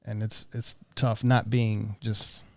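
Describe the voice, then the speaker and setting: Host. Man with a low, gravelly voice who asks casual, laid-back questions. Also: flat delivery, slow. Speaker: podcast host. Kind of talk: casual interview.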